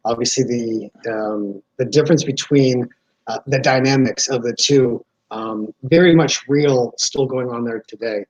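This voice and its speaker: A man hosting a conversational interview, earnest, working toward his questions in halting, rambling lead-ins. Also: mid-range voice. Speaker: interview host. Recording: dull, lo-fi audio.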